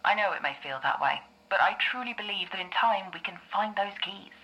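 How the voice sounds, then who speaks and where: A woman playing a calm, reassuring therapist in an audio drama, speaking in a measured, explanatory way. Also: mid-range voice, low-quality recording. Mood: reassuring, guiding. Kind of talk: scripted audio drama dialogue.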